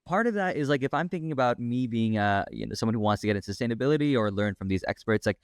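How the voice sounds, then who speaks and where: A man's voice: mid-range voice, animated, racing, smooth, dry recording, studio quality. A man hosting an interview podcast, asking long, chatty questions that he often ends with "right?". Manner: chatty, tag questions like "right?", laughs easily. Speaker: podcast host.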